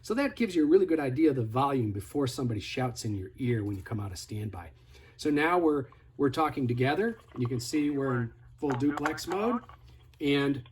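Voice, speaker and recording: A man's voice: fairly high pitch, slightly rough. A man giving a casual, hands-on product tutorial in a conversational, step-by-step instructive way. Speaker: product demonstrator.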